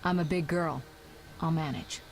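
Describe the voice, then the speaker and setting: A woman playing a headstrong, sharp-tongued young sorceress in a video game, assertive in manner. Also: low voice. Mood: determined. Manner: assertive, brisk retorts. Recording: game audio with faint background noise.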